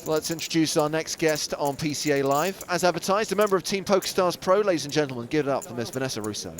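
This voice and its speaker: A man's voice: fairly high pitch, lively intonation, fast talker. A man with an English accent hosting a live poker webcast, introducing guests and bantering in a dry, wry way. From England. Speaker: webcast host.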